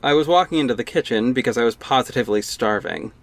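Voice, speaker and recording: A man's voice: medium pitch, fast talker. Wry young man narrating a drama. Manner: wry, casual, conversational narration. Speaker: narrator and lead actor. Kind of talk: first-person audio drama narration. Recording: music bed underneath.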